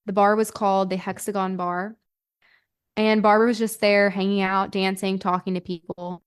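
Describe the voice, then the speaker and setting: Clear-voiced woman telling a true-crime story. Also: medium pitch, little pitch movement, moderate pace. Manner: informal, hedging, filler-heavy with "like". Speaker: true-crime podcast host. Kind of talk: casual conversational storytelling.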